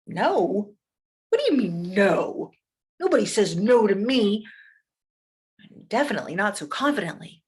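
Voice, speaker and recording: A woman voicing an angry, bullying male wolf in a told story, loud and demanding. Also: high voice, sing-song, normal speed, pitched above the performer's own voice. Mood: indignant.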